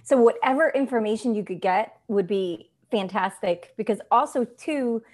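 A woman's voice: a little high, moderate pace, a little mellow. A woman from Montreal whose first language is Italian, chatting casually as a podcast co-host. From Montreal, Canada. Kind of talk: casual, unscripted chat.

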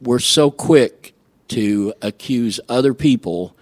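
An American man from Illinois speaking as a radio guest, telling personal stories in a casual, halting, folksy way. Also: mid-range voice, lively intonation, a little mellow, no room sound. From Illinois, United States.

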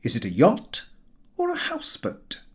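A man voicing a pompous gentleman yachtsman from a comic storybook of 1900, formal, emphatic and exasperated. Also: sits high, wildly swooping pitch, normal speed, voice pushed up. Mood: sarcastic.

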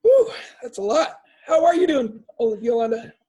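A man's voice: very high-pitched, sing-song, moderate pace, mellow, husky. A man hosting a soccer podcast, introducing his guest and asking questions in a friendly, self-deprecating way. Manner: upbeat, self-deprecating about mispronouncing. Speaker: podcast host.

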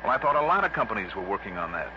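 An actor playing a breezy, wisecracking American insurance investigator in 1960s radio drama style, with a snappy, casual delivery. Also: male voice, fairly low pitch, big pitch swings, very fast. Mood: casual, probing. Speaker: lead actor and narrator. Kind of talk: 1960s radio drama acting with first-person narration. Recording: muffled, noisy vintage radio broadcast.

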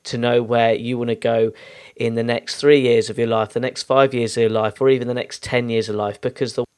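A man's voice: mid-range voice, flat delivery, brisk, a little mellow, clear, resonant tone. A man hosting a podcast, addressing listeners in an earnest, emphatic, motivational way. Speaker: podcast host.

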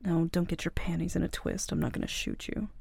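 Woman playing a cocky, creaky-voiced young mafia princess. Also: fairly low pitch, wildly swooping pitch, a little fast, a little mellow, no echo, exaggerated voice. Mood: dismissive, threatening.

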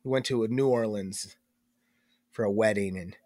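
A man's voice: on the low side, normal speed, no echo, clean studio sound. A young man co-hosting a podcast in casual chat, congested and stuffed up, rambling and cutting himself off as he talks. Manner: rambling, self-interrupting, animated. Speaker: podcast co-host.

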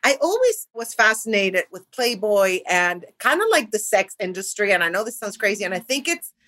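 A middle-aged woman from Argentina, a comedian, chatting casually and candidly as a guest in an animated, jokey, sweary way. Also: medium pitch, normal speed.

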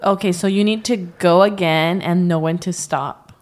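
A young woman whose voice sits a little low for a woman. She chats casually as a podcast co-host, teasing and asking playful questions. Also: fairly even intonation, moderate pace, darkish tone. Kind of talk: casual chat.

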